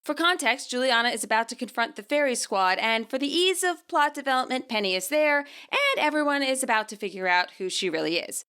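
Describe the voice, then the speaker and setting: Bright, animated woman narrating a story. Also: sits high. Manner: expressive. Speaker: author and narrator.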